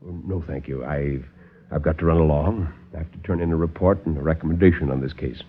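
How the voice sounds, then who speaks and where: A man voicing a calm, guarded psychiatrist, soft-spoken and measured, in 1950s radio drama style. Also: a bass voice, breathy edge, pitched below the performer's own voice. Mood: evasive. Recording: muffled 1950s radio recording.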